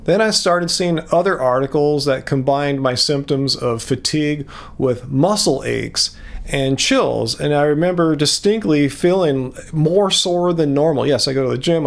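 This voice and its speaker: Gravelly-voiced American man, slightly high, earnest casual vlogger. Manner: earnest and explanatory, with frequent restarts. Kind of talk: casual vlog talk to camera.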